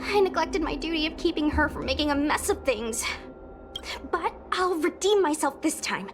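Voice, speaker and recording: A woman's voice: high-pitched, expressive, moderate pace, a little hiss or hum. A woman voicing a spirited, protective young woman in a video game, brash, casual and confident. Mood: sheepish, earnest. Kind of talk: video game character dialogue. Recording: music behind the voice. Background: game music.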